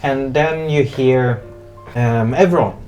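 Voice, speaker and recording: A game master improvising narration for a tabletop roleplay in a halting, hesitant way. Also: medium pitch, darkish tone, faint music behind.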